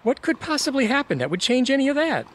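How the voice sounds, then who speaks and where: A man's voice: very high-pitched, sing-song, fast. A man playing a brash, teasing, crude best buddy in an audio theatre comedy. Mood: teasing, blunt.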